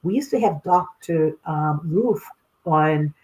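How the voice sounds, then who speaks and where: A woman's voice: low voice, slow, poor audio. A middle-aged woman from Haiti, raised in Boston, who speaks as a guest expert in a casual podcast conversation, telling personal stories with frequent laughter. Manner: animated storyteller, laughs often, addresses listeners as y'all. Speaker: guest, clinical sexologist.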